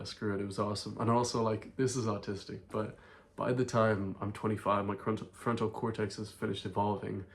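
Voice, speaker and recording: A man's voice: lowish voice, darkish tone. A young man from Ireland with a blended Irish, American and London accent, chatting casually to camera in a slangy vlog, full of "like" and "bro". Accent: mixed Irish, American and London. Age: young adult. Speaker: vlogger.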